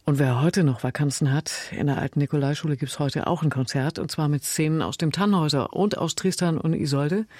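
A man's voice: a little high, a little fast, hardly any pauses, a little mellow, no echo. Dry-sounding male radio presenter. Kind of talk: radio program moderation.

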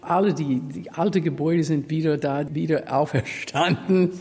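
A woman's voice: low voice, moderate pace, darkish tone. A woman opera singer and teacher giving interview answers, speaking emphatically and savoring her words. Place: historic school hall. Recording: long hall reverb.

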